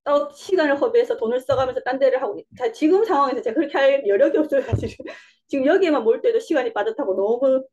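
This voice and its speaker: Fast-talking woman with a Busan accent. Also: high-pitched, a little mellow. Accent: Busan dialect. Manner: rambling, laughs often, self-deprecating. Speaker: interview guest, coaching student. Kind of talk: casual interview answers.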